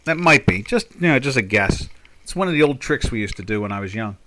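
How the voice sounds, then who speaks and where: A man's voice: fairly low pitch, animated, brisk, noisy background. Older man, South Jersey accent, trading-stream host, rambling, chatty, thinking aloud. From Pinelands of South Jersey. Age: 60s. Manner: rambling, chatty, many fillers and restarts. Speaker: market trader and stream host.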